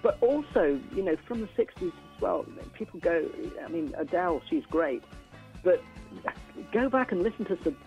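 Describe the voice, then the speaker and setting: A middle-aged man chats as a musician guest on radio. His voice sits a little high, and he is opinionated, repeating phrases for emphasis, with faint music around. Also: animated, normal speed, lo-fi sound. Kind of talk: casual radio interview chat.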